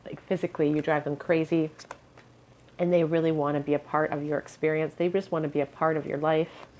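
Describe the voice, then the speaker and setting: A woman giving a pick-a-card tarot reading in a musing tone, her voice a little hoarse and scratchy, often clearing her throat. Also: deep voice, flat delivery, brisk, darkish tone. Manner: musing, hedges with "I feel". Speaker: tarot reader.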